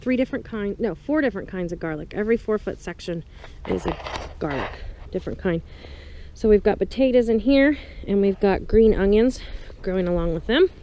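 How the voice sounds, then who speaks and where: A woman vlogger giving a chatty, casual walk-through of her garden, pausing between short phrases. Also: mid-range voice, expressive, mellow. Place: small greenhouse and open farm garden, outdoors. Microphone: action camera's built-in mic, worn or handheld. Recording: outdoor handheld action camera with background noise. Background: clear ambient outdoor noise.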